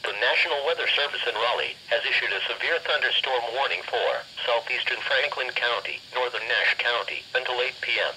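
A synthetic man's voice reading automated weather bulletins formally through a radio speaker, with flat, measured phrasing. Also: mid-range voice. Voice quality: synthetic text-to-speech voice. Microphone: camera held above radio. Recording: faint noise, radio speaker re-recorded.

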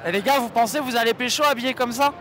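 A man's voice: very high voice, quick pace. A man in his twenties co-hosting a vlog with slangy, teasing chat into a handheld mic at a convention. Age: 20s. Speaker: vlog co-host. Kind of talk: casual joking chat. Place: large convention hall. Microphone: handheld microphone. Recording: faint convention noise behind.

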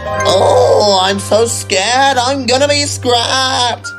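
A man's voice: very high-pitched, drawn-out words, loud music behind the voice, pitched above the performer's own voice, exaggerated voice. A man voicing a rude, cheeky male engine who sneers and taunts. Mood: mocking.

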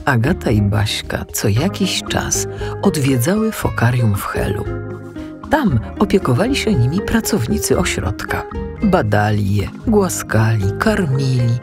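A woman narrating a scripted children's story as an audiobook narrator. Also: very deep voice. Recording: music under the voice.

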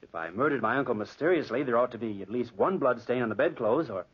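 An actor playing a young man who murdered his uncle, a guilt-crazed nephew in a 1940s radio drama whose feigned calm cracks into frantic whispers and shouts. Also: mid-range voice, fast talker, slightly rough. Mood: smooth, composed. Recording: muffled old radio broadcast.

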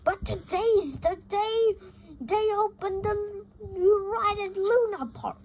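An amateur reader voicing an excitable, teasing male mouse cousin from a comic, in a noisy home recording. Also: very high-pitched, takes it slowly, voice pushed up, heavily acted. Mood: excited, teasing. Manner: eager, pushy, teasing.

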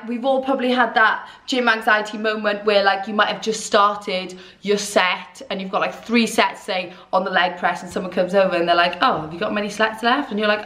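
A young woman, chatting candidly to camera and gesturing as she talks from an armchair in a small dim room. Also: medium pitch. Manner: chatty, candid, expressive hand gestures, swearing. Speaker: vlogger. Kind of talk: casual chat to camera.

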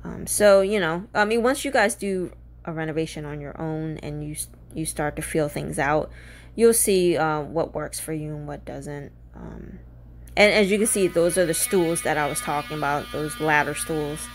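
A woman's voice: low voice, normal speed. A woman casually narrating a home renovation video, chatty and full of ums while giving practical tips.

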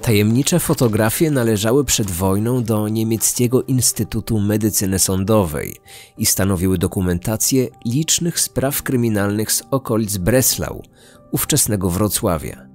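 Low-voiced man narrating true crime over music. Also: moderate pace, darkish tone. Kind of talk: scripted documentary narration.

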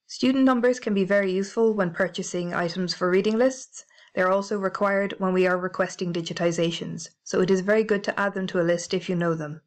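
A woman with a British accent narrating a library software tutorial, clear and methodical, spelling out codes letter by letter. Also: lowish voice, steady tone, brisk. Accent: British English. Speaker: library staff trainer. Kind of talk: instructional screencast narration.

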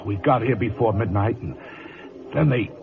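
An actor playing a badly wounded, dutiful cavalry corporal who struggles haltingly to report, in old radio drama style. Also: male voice, fairly low pitch, gravelly, noisy background. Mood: pained, urgent. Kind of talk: 1950s radio acting. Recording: distant tinny old radio, voices behind.

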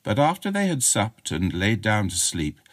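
A man's voice: on the low side, wildly swooping pitch, moderate pace, dry recording, studio quality. A man narrating a short chiller as an audiobook reader, in a dramatic storytelling style.

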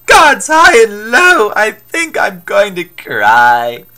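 A woman playing an excitable young man turned talking dog in a storybook, indignant and melodramatic. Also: high-pitched, quick pace, pitched above the performer's own voice. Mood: indignant, panicked. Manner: melodramatic, exclaiming.

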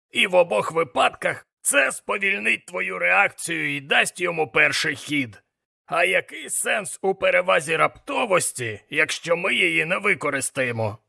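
This is a sardonic demon mentor, pitched high and extremely gravelly. He lectures emphatically with a piercingly bright, forceful edge, as in a fantasy audiobook drama. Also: exaggerated voice. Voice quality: gravelly, rasping. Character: gruff, scoffing demon mentor, brisk and worldly-wise.

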